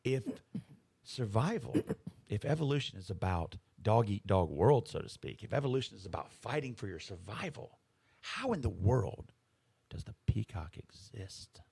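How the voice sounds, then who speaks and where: A man's voice: on the low side, very animated, moderate pace, vocal fry, a little mellow, slightly rough. A man co-hosting a podcast, explaining ideas in casual conversation, emphatic and fond of rhetorical questions. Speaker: podcast co-host.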